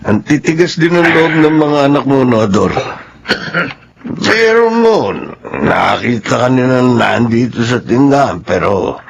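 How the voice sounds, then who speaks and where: A man's voice: medium pitch, lively intonation, unhurried, creaky, muffled recording. An actor playing a mocking, teasing male crony who needles his friend in a radio drama. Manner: drawling, teasing. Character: mocking, needling drinking buddy of the father.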